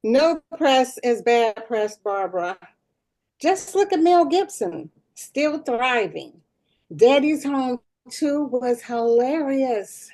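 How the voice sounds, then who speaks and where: A woman's voice: high-pitched, lively intonation, slow pace, a little mellow, exaggerated voice, rough recording. A woman playing a tone-deaf, attention-seeking school principal in her forties in a sitcom table read, slangy and dismissive. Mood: breezy, self-satisfied. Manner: self-satisfied, dismissive, slangy.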